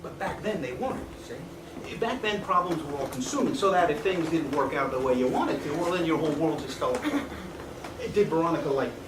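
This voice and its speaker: A man's voice: on the high side, lively intonation, close to an everyday voice. Distant, echoey middle-aged man on stage, acted by a man. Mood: weary, reflective. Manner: deliberate, wordy, reflective. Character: weary, nostalgic man in his fifties haunted by regret. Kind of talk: theatrical stage monologue and dialogue. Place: small indoor theatre stage. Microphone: far camera. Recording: echoey theatre stage, distant noisy camera mic.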